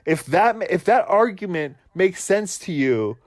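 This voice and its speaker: A man's voice: high-pitched, sing-song, unhurried, no room sound. Ranting, profane man, dry sound. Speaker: podcast co-host. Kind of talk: heated political argument with his brother.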